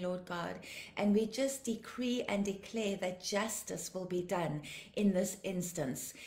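A woman in her fifties, a pastor, sounding tired and a little croaky, warmly greeting viewers by name and praying fervently on a home live stream. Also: mid-range voice, reverberant. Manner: fervent praying, repeating declarations. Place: living room at home, indoors. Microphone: laptop webcam, video call framing.

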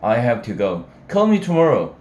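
A man voicing an annoyed young woman in a story, brisk, impatient and scolding. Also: medium pitch, wildly swooping pitch, a little mellow.

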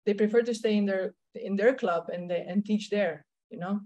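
A woman in her thirties with a Slovenian accent, speaking as a tennis coach in a video-call interview, often pausing and repeating words as she searches for English phrasing. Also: mid-range voice, mellow, dull audio. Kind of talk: casual interview chat. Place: indoor room at home.

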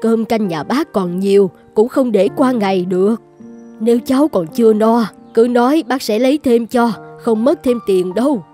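Mellow, motherly older woman, voiced by an actress. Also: on the high side, moderate pace, soft background music, natural voice. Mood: caring, insistent. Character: motherly, caring older female eatery owner. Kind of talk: animated moral-tale dubbing.

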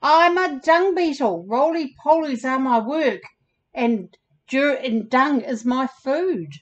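A woman's voice: sits high, expressive, slow pace, muffled recording, voice pushed up. A woman voicing a blunt, busy little male dung beetle in a children's storybook, emphatic and matter-of-fact.

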